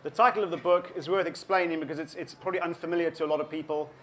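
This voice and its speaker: A man's voice: a little high, fairly even intonation, racing, fairly bright tone, slight background noise. South African man giving an author talk. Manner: thoughtful, many ums and restarts. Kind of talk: public talk to an audience. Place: event room with an audience.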